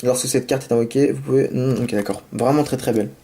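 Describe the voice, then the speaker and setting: A man with a sore throat from a cold talks his way through opening card packs. He rambles and apologizes, then gets excited about the cards, in a casual, home-made commentary. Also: mid-range voice, fast. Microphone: camera mic.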